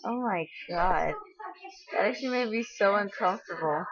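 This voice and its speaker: A woman giving casual reaction commentary in a giggly, halting manner. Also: mid-range voice, dull audio, crowd noise. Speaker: reaction video host.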